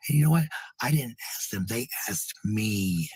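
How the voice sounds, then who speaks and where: A man with a raspy, gravelly voice in casual, rambling chat, blunt and swearing freely. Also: lowish voice, animated, moderate pace, a little mellow, dry recording, rough recording.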